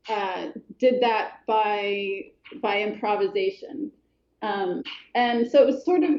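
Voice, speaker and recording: A middle-aged woman from Colorado, speaking as an author guest in a thoughtful, hesitant way, with many pauses and restarts. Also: medium pitch, warm tone, echoey room, highs cut off. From Colorado, USA. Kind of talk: reflective interview talk.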